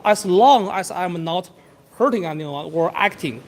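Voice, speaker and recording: A young man with a high voice answers questions outdoors on a campus. He speaks in halting, earnest bursts, restarting his sentences often. He grew up abroad. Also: expressive. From outside Canada. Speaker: interviewee. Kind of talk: street interview on campus.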